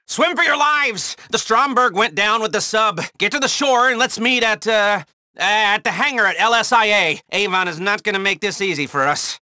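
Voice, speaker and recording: An actor playing an urgent, sceptical covert mission handler in a video game, giving clipped instructions. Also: male voice, sits high, normal speed, pushed and bright, no echo, studio quality.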